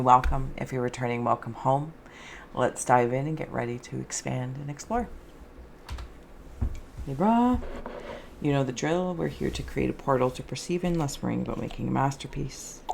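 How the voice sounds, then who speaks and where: A woman hosting an improvised spiritual card and scrying reading, soft-spoken, with a dreamy, meandering, alliterative way of talking. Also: a bass voice, vocal fry, slight background noise. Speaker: host, tarot and scrying reader.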